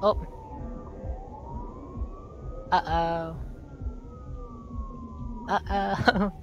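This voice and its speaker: A woman streaming a video game, chatting casually and reading on-screen text aloud haltingly while she loses her voice. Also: mid-range voice, fairly even intonation, highs cut off, noisy background. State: losing her voice.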